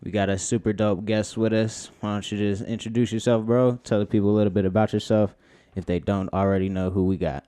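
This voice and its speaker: Deep-voiced easygoing male host. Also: level tone, brisk, warm tone, clean tone, no room sound, studio quality. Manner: slangy, easygoing questioning. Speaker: interview host. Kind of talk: casual interview questions.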